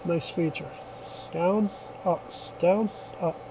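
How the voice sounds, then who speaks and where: Mellow, higher-voiced man demonstrating gear. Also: fairly even intonation, takes it slowly, a little hiss or hum, rough recording. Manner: plainspoken, step-by-step explaining. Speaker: product reviewer. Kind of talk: casual hands-on product demonstration.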